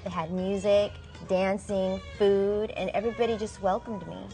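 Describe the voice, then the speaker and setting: Young adult woman, mid pitch, chatty and friendly; faint music under. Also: fairly even intonation, moderate pace, dark tone. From Southern California, USA. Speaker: guest singer. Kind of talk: casual interview answers.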